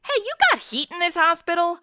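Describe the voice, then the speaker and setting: A woman's voice: very high voice, animated, fairly quick, sharp tone, no room sound, studio quality, full character voice. A woman with an American accent playing a brash, flippant superheroine, sarcastic and irreverent, in a comedy audio drama.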